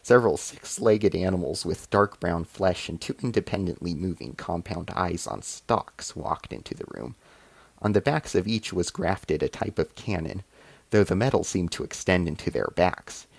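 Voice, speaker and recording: A man's voice: low voice, lively intonation, normal speed, creaky, slightly rough, no echo. A man reading a science fiction short story as scripted narration.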